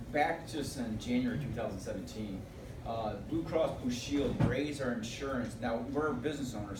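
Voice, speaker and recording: Male audience speaker with an easygoing, mid-range delivery, picked up from across an echoey room with clear background noise. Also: medium pitch. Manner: chatty, unhurried, laughs at his own story. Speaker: audience member giving a testimonial. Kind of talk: casual testimonial. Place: hotel meeting room, indoors. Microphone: far phone camera in the audience. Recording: roomy, distant phone recording, noisy.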